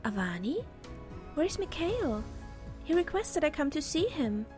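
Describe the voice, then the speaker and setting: A woman's voice: sits high, very animated, a little slow, over music. A woman voicing a puzzled, inquisitive goddess in a fantasy audio drama, with a questioning manner.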